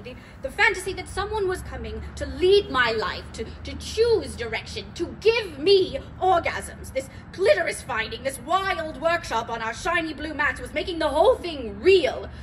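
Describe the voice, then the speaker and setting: A woman's voice: high-pitched, poor audio. A woman performing a dramatic monologue as an anxious, earnest woman baring an intimate memory. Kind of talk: dramatic monologue in a staged reading. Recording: live stage reading, audible background noise.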